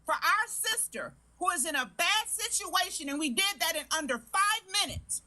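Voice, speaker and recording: A woman on a live-stream panel, lecturing in a sharp, sarcastic heated debate. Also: very high-pitched, animated, normal speed.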